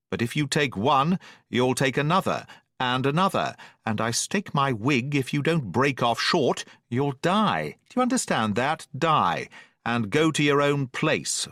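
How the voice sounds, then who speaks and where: A man voicing a stern, educated middle-aged doctor who speaks in a brisk, severe and precise way. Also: mid-range voice, sing-song, fairly bright tone, no echo, clean studio sound. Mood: scolding.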